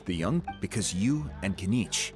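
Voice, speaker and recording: An actor playing a solemn, knowing man in a video game, with measured speech. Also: fairly low pitch, animated, over loud music, close to an everyday voice. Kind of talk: video game character dialogue.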